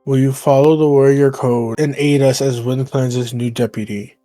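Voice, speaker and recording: Man playing a solemn, even-toned cat clan leader. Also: medium pitch, normal speed, soft background music, lightly acted. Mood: solemn, formal. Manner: formal, proclaiming. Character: solemn male clan leader cat addressing his warriors. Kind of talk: online roleplay game acting.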